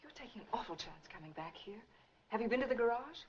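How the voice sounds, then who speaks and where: An American actress playing a wary, sharp-tongued mother in 1940s film noir, brisk and questioning. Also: a little high, expressive. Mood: wary, probing. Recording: muffled, hissy 1940s film soundtrack.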